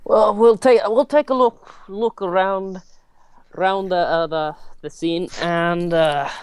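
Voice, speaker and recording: A man playing a tabletop roleplaying game, talking casually in an easygoing, halting way. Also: sits high, fairly even intonation, smooth.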